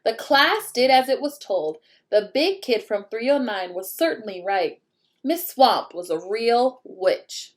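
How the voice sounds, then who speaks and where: A woman reading a children's picture book aloud expressively, pausing to put questions to young listeners. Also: fairly high pitch, animated. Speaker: read-aloud storyteller.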